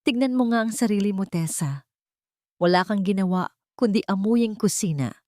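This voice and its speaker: A man's voice: sits high, moderate pace, darkish tone, no echo, clean studio sound, close to an everyday voice. A woman voicing a cold, contemptuous husband who scornfully discards his wife in an audio love drama. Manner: scornful, dismissive.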